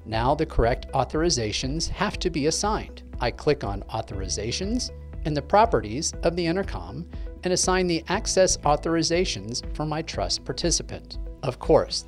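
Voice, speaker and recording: A man, giving a scripted step-by-step tech tutorial as presenter. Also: a little high. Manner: clear step-by-step explaining. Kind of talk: scripted instructional narration.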